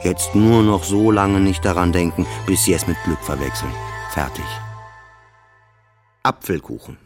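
A man's voice: deep voice, steady tone, unhurried, music in the background. A man delivering scripted, introspective first-person literary narration as in a radio play, in short clipped phrases.

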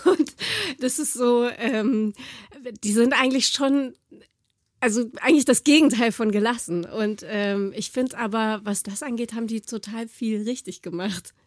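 A woman's voice: on the high side, measured pace, no echo, clean studio sound. A woman in her forties as an interview guest, chatting casually with many fillers. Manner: chatty, many fillers, laughs while telling stories.

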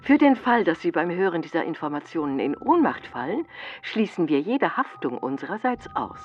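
A woman's voice: fairly low pitch, sing-song, normal speed, breathy edge. An officious dramatic bulletin lady, lots of intonation, muffled lo-fi, kids spooky radio play. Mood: warning. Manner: formal, dramatic, precise. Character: female announcer of dramatic, officious ghost warnings. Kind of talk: scripted radio bulletin narration in a children's drama.

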